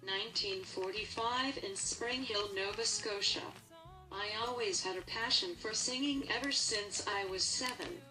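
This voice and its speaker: A woman's voice: medium pitch, close to an everyday voice. A woman playing an earnest Canadian singer, plainly reading a scripted first-person account of her career. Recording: distant mic under clear music.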